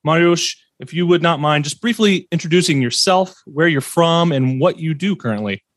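Upbeat, higher-voiced male podcast host. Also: lively intonation, moderate pace, no echo, clean studio sound. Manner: upbeat, sing-song greetings. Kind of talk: casual podcast chat.

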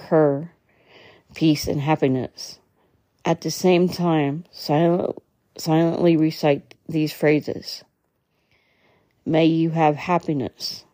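A woman with a low, soft-edged mellow voice guides a meditation. She reads in a measured, soothing way, now and then tripping on a phrase. The sound is close and dry, with very little room around her. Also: no room sound. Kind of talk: guided meditation, slow instructional reading.

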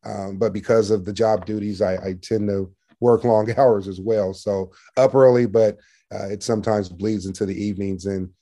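Male sales manager as podcast guest: lowish, very mellow tone, assertive and projecting, conversational business talk. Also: fairly even intonation, normal speed. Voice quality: loud, projecting voice.

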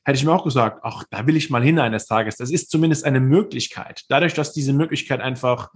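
A man in his thirties with a slightly husky, breathy voice, looking back on his sports career as an interview guest. He talks in long, winding sentences, pausing and hesitating as he thinks aloud, at a pitch typical for a man. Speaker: guest, former pro football player. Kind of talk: reflective interview storytelling.

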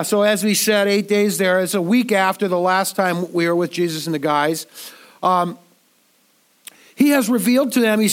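A man preaching a sermon in a relaxed, conversational way while congested and a little nasal from a cold, often repeating words as he thinks aloud. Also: sits high. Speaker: pastor.

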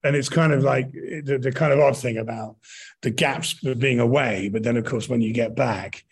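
A man's voice: medium pitch, moderate pace, creaky, breathy edge. A middle-aged British man answering conversationally in an interview, rambling and often rephrasing himself mid-sentence. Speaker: interview guest, touring actor and director.

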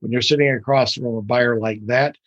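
A man's voice: medium pitch, fairly even intonation, moderate pace, fairly bright tone, no room sound, highs cut off. A man hosting a podcast interview, talking in a folksy way with frequent repeated words. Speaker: podcast host.

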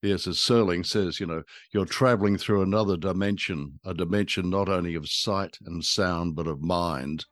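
A man's voice: deep voice, fairly even intonation, moderate pace, hardly any pauses, dry recording. An older man co-hosting a show, chatting casually about a radio drama. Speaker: podcast co-host.

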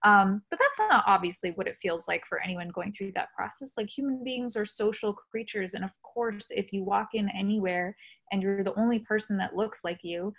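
A woman's voice: mid-range voice, animated, normal speed, a bit bright. A woman being interviewed, talking earnestly and reflectively with frequent fillers. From India. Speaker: guest. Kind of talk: conversational interview.

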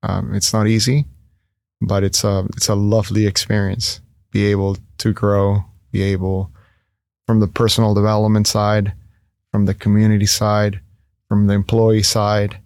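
A man's voice: on the low side, monotone, moderate pace, a little mellow, dry recording, studio quality. A man in his thirties from Ecuador, a guest in a casual interview, talking earnestly with many fillers and restarts. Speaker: guest, entrepreneur.

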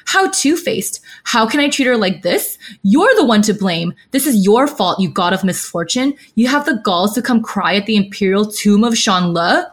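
A woman's voice: medium pitch, expressive, normal speed, few pauses, natural voice. Woman doing a mocking, howling ghost villain. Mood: scornful taunting. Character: gloating, taunting male ghost villain, gleefully cruel.

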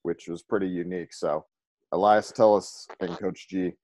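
Low-voiced joking interview host. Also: male voice, fairly even intonation, normal speed, a little mellow, dry recording. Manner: loose, joking, stumbling over words. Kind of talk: casual interview hosting.

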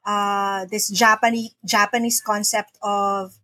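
A woman's voice: medium pitch, little pitch movement, takes it slowly, fairly bright tone. A middle-aged woman from the Philippines, a podcast guest talking earnestly and often repeating words as she starts a sentence. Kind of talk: casual interview talk. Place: home in the Philippines.

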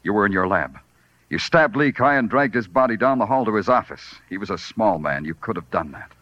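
An actor playing a world-weary, poetic New York police detective in a 1940s radio drama, clipped and brooding. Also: male voice, on the low side, expressive, dry recording, lightly acted. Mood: accusing, weary. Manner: brooding, clipped, deliberate delivery. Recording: dull vintage radio recording, organ-style music behind.

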